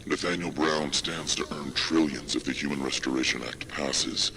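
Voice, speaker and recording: An actor playing a measured, secretive video game informant, formal and deliberate with a gravelly voice. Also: male voice, fairly even intonation, bright, forceful tone, slight background noise, heavily acted. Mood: measured, cautious. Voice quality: very deep, gravelly. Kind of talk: cinematic video game acting.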